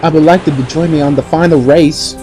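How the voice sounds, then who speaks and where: A man's voice: a little high, fairly even intonation, fairly quick, dark tone, faint music behind, close to an everyday voice. A man playing a confident, kindly champion sailor, earnest and encouraging, in an amateur audio drama. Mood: relieved, proud.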